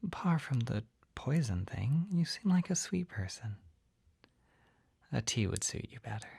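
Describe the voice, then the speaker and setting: A young man playing a shy, skittish, kindly potion shopkeeper in an ASMR roleplay, whispering gently and hesitantly close to the microphone. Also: mid-range voice, big pitch swings, unhurried, no room sound, exaggerated voice. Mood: shy, friendly. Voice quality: breathy, whispery. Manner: hesitant, gentle, soft-spoken.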